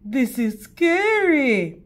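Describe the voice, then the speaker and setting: A woman's voice: high-pitched, sing-song, very slow, pitched above the performer's own voice, full character voice. A woman voicing a sweet, polite, trusting young girl from a storybook fairy tale. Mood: scared. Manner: innocent, courteous.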